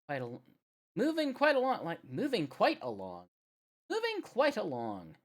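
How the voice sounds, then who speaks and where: A woman's voice: mid-range voice, wildly swooping pitch, normal speed. A woman hosting a casual live music stream, rambling and thinking aloud as she goes. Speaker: radio show host.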